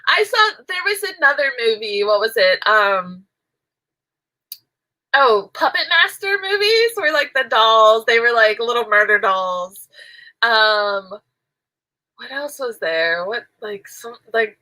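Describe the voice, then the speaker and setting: A woman's voice: on the high side, expressive, slow pace, sharp tone, poor audio. A woman chatting casually while she crochets, rambling and giggling, her nose stuffy and nasal from allergies. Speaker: crochet vlogger.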